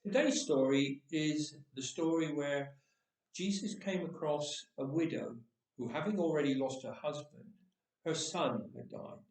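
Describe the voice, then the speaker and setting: A man's voice: on the high side, a little slow, a little mellow. A man who reads stories aloud, speaking as himself to introduce a reading. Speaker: story reader. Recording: echoey room, distant microphone.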